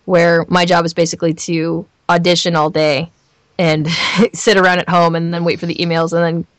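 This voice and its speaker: A woman with a Southern California accent talking candidly in an interview as a voice actor, emphatic and expressive in how she tells things. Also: on the low side, normal speed, no room sound. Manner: animated storyteller, emphatic repetition, acts out imagined lines. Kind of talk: candid, chatty interview talk.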